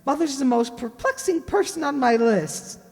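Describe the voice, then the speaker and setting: Woman acting as young girl, high pitch, lively swoops, eager, earnest, affectionate, old radio commercial. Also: moderate pace, big-room sound. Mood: eager, confiding.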